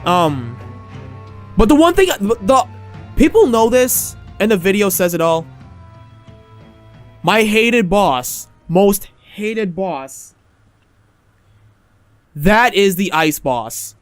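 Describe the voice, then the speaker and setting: Man, podcast host, high pitch, hoarse, excitable, rambling, faint background music. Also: takes it slowly, pauses often, no room sound. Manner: excitable, rambling, trips over words. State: losing his voice, slightly hoarse. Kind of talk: casual game review chat.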